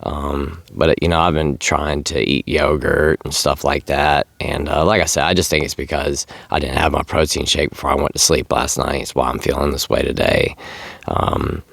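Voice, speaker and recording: Male vlogger, very deep and dry-sounding, tired failing voice, plain-spoken and unhurried in casual address. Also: fairly even intonation, gravelly, a bit bright, no echo. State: losing his voice, tired. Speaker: sobriety vlogger. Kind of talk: casual vlog talk to camera. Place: outdoors.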